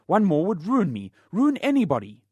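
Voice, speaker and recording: A man doing a sharp, irritated old doctor. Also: sits high, huge pitch swings, moderate pace, breathy edge, no room sound, pitched above the performer's own voice, heavily acted. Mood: indignant. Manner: sharp, cutting, exasperated. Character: irritated older male family doctor.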